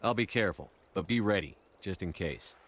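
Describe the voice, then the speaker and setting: Firm, steady space commander. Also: male voice, low-pitched, a little fast, a little hiss or hum. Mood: firm, insistent. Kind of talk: video game cutscene acting. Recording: music behind.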